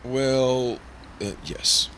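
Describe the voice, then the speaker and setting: A man's voice: mid-range voice, extremely slow, bright, forceful tone, slight background noise, low-quality recording. A man playing a prim, earnest, easily flustered insurance broker in old-time radio drama style. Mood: flustered, defensive. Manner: prim, formal, exasperated. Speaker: supporting actor in a radio play.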